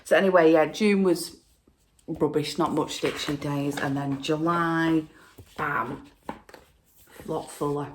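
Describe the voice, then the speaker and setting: A woman hosting a casual stitching video from home, chatting in a rambling way with lots of fillers, and sounding hoarse from a cold. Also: deep voice, steady tone, takes it slowly, pauses often, background noise. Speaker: flosstube host. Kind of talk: casual chat to camera.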